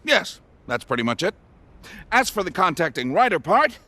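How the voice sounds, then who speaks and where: A man voicing an eccentric, excitable older scientist in a video game, with a genteel, exclamatory manner. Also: sits high, moderate pace, full character voice. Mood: brisk, cheerful.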